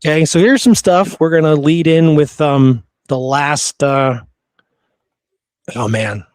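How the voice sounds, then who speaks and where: A man's voice: a little high, takes it slowly, clean tone, no echo, studio quality. A man hosting a podcast, talking through history in an informal, conversational way. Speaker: podcast host. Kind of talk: casual history discussion.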